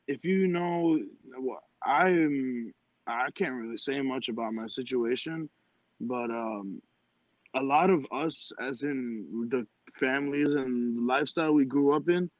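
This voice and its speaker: A young man hosts his own podcast in loose, rambling talk, blunt and full of swearing. His voice sits at a middling pitch. The sound is dry and a little dull, like a cheap home mic. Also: unhurried, dry recording. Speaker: podcast host. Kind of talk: casual podcast chat.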